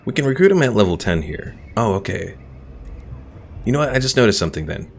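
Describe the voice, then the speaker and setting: A man casually commentating his strategy game stream, explaining mechanics and answering viewers' questions in a relaxed way. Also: fairly low pitch, fast, vocal fry, slight background noise.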